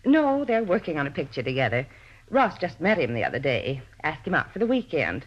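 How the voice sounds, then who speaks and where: An older landlady with a lowish voice, talkative, vain and grudging. She carries herself like a faded screen actress, theatrical and self-important, in 1950s radio drama style on a dull, muffled recording. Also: big pitch swings, a little fast. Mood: chatty.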